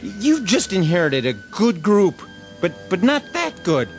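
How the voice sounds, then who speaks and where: An actor playing an exasperated infantry soldier in a radio drama, protesting in an emphatic, pleading manner. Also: male voice, sits high, very animated, measured pace, soft background music.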